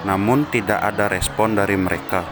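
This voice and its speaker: A man's voice: lowish voice, steady tone, a little fast. A man narrating a scripted story. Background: music behind the voice.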